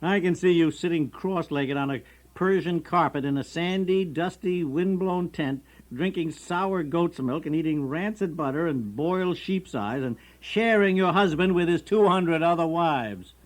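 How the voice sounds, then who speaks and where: An actor playing a practical, mildly exasperated American husband in 1950s radio comedy, with a halting, folksy conversational manner. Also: male voice, on the high side, natural voice. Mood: indignant.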